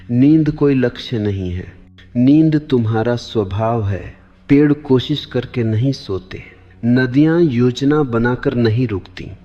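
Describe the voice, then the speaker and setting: A man giving a meditative spiritual discourse for sleep, deliberate, in short measured phrases that often repeat. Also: medium pitch. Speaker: spiritual teacher. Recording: long hall reverb, dull lo-fi sound. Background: clear background music.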